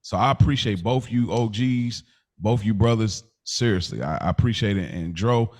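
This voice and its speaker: Male podcast co-host, lowish voice, earnest and heartfelt, casual slang, leans on repeated phrases. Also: normal speed, a little mellow.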